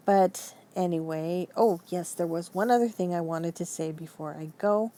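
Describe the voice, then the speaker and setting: A woman's voice: on the low side, moderate pace, dark tone. A woman talking casually and emphatically as she vents her opinions in a rambling, conversational online commentary. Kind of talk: casual opinion vlog, venting.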